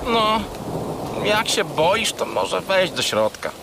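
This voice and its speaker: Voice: mid-range voice, very animated, takes it slowly, very noisy. An actor voicing a grumpy, sulky little male furry pet in a children's audio play, with a matter-of-fact manner. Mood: curious, sceptical.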